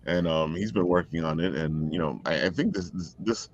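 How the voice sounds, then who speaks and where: A man's voice: sits low, normal speed, dry recording. A man hosting a casual online chat show over a video call. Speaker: show host. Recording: dry, dull lo-fi call audio.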